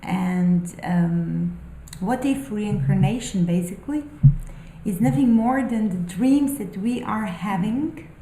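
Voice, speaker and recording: A woman who speaks English as a second language, musing aloud about spiritual ideas in a halting, thoughtful way, with many pauses and fillers. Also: mid-range voice, mellow, echoey room, a little hiss or hum. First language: not English. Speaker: spiritual vlogger. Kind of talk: unscripted musing monologue.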